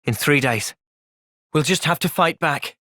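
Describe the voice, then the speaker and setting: A man playing an earnest, gentle, resolute young soldier in video game cutscenes. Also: on the high side, a little slow, dry recording, studio quality. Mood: reassuring. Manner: earnest, thoughtful. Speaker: voice actor.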